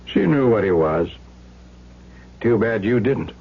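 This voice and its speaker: Lowish, sardonic American police lieutenant. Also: male voice, sing-song, vocal fry, breathy edge, heavily acted. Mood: sardonic, knowing. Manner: deliberate, dryly sarcastic, knowing. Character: skeptical, sardonic male police lieutenant interrogating a suspect. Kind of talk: old-time radio crime drama acting. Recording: dull, noisy old radio recording with hum.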